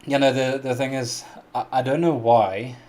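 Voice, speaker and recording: A man's voice: medium pitch, level tone, normal speed, darkish tone, a little hiss or hum. A South African man hosting a casual live-stream Q&A, chatty and earnest, who laughs often and pronounces his words very carefully. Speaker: music reaction YouTuber hosting a live stream.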